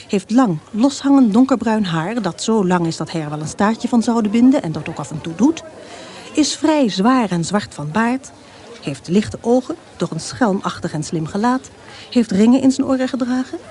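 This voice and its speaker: A woman narrating a radio play, reading scripted descriptions in a measured, matter-of-fact way. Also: a little high, mellow, natural voice. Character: measured female storyteller reading descriptions and life histories.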